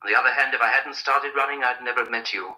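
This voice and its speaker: An actor playing a gentle, stoic man on the run, understated and reassuring, in a vintage radio drama. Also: mid-range voice, fairly even intonation, fast talker, low-quality recording. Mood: resigned, tender. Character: calm, resigned male fugitive, gentle and stoic.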